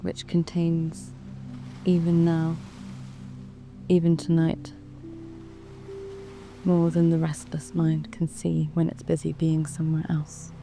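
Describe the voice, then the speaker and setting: A woman with a British accent narrating a guided sleep meditation in a soft, calm, soothing way, with long measured pauses. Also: low voice. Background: background music.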